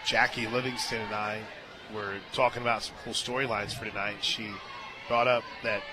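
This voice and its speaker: A man doing live radio play-by-play commentary of a softball game as the announcer. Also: on the low side, flat delivery, moderate pace. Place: outdoor softball stadium radio booth. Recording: stadium crowd noise and music behind. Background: crowd and music.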